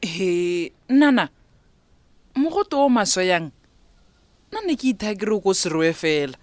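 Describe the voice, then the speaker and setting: 女演员饰演的语调起伏很大的博茨瓦纳姑姑. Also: female voice, medium pitch, lively intonation, measured pace, darkish tone, lightly acted. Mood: defensive. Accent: Botswana. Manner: exclamatory, dramatic, scolding. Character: exasperated, dramatic, forgetful older auntie. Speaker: radio drama actress. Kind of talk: radio drama acting, conversational.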